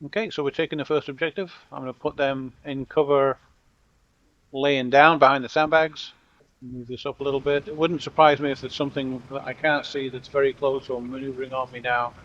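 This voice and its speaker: A middle-aged man from Britain with a blended British and American accent, giving relaxed, wry commentary over a strategy game and joking with his units. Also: mid-range voice, brisk, no echo. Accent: mixed British and American. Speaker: gameplay commentator. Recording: dull lo-fi audio.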